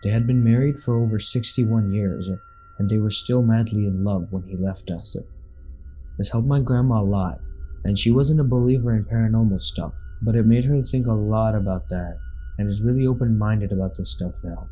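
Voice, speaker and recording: Male horror-story narrator, lowish and mellow, scripted read over background music. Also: moderate pace, poor audio. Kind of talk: scripted narration of scary true stories.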